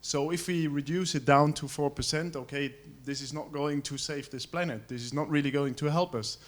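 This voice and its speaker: A man's voice: fairly high pitch, moderate pace. A man in the seminar audience arguing a point and asking a direct question of the panel.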